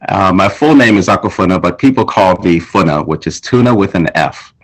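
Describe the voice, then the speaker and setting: Man from Zambia, school volunteer; calm, measured, reflective, lowish voice. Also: slightly rough, rough recording. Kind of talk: informal recorded conversation.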